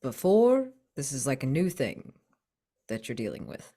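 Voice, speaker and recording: A woman's voice: rumbling low, sing-song, normal speed, warm tone. A woman playing in a tabletop roleplaying game, speaking casually.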